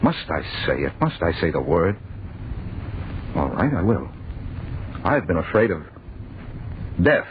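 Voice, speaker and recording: A man's voice: low voice, sing-song, measured pace, long pauses, dull audio. An actor playing an anguished, fearful man earnestly confessing to his sweetheart in vintage radio drama style. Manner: earnest, pleading, agitated. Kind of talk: 1940s radio drama acting. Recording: noisy, thin old radio recording.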